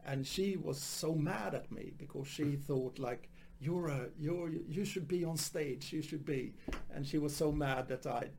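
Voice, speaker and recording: A man's voice: on the high side, unhurried, gravelly, few pauses, a little mellow, slight background noise. A man, a comedy director and former stand-up, talking in a rambling, casual way with many restarts. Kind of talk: casual podcast conversation.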